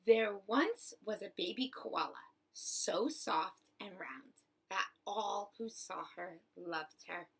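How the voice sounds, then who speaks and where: A woman reading a picture book aloud to children like a teacher, with expressive, deliberate phrasing. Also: medium pitch, gravelly, husky, low-quality recording. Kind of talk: storybook read-aloud for children.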